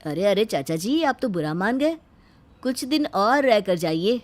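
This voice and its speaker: A woman's voice: mid-range voice, lively intonation, unhurried, lightly acted. A woman voicing a harried middle-aged father in a family story, grumbling yet polite. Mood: placating.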